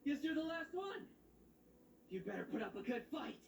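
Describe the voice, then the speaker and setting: An actor playing a cocky young monster hunter in a video game, flippant and taunting. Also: male voice, very high-pitched, big pitch swings, moderate pace, vocal fry, full character voice. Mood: menacing glee. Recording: roomy distant game audio with noise.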